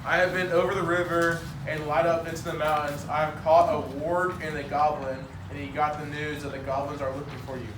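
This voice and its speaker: A man playing a gruff but helpful host who warns travellers, in an amateur readers' theater reading. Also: fairly high pitch, flat delivery, fairly quick, few pauses, lightly acted. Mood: earnest, warning. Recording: noisy echoey room, distant microphone.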